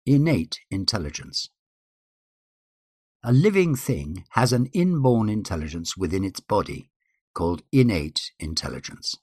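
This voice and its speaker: Male reader, husky and very expressive in pitch, formal scripted delivery, close dry sound. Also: no room sound. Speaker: guest reader. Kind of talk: formal scripted reading of numbered principles.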